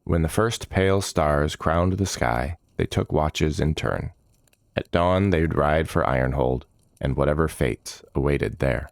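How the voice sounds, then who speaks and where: Very deep, gravelly male fantasy narrator. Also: a little slow, no echo, clean studio sound. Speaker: storyteller, narrator. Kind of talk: scripted fantasy audiobook narration.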